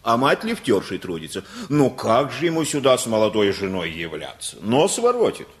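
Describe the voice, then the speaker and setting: A man's voice: medium pitch, huge pitch swings, moderate pace. An actor playing a garrulous, teasing middle-aged working man in a Russian radio play, with a folksy, needling manner. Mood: mocking. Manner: folksy, needling, chuckling, repeats words.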